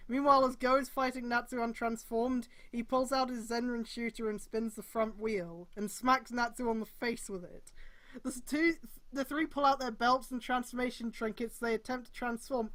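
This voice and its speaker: A woman streamer reading fan fiction aloud in a mocking live style, with exasperated outbursts, drawn-out emphasis and swearing. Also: high voice, bright, forceful tone.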